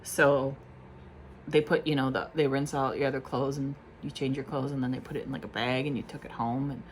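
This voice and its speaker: A woman's voice: a bass voice, steady tone, brisk, a little hiss or hum. A woman vlogging casually at home, rambling through questions with lots of fillers and self-deprecating asides. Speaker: beauty vlogger. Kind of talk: casual chat to camera.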